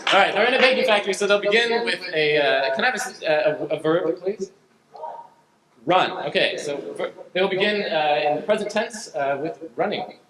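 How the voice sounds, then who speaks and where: A man hosting a live improv show, explaining games in a hesitant, halting way. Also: high-pitched, lively intonation, crowd noise. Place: theatre stage in front of audience. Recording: echoey live stage room.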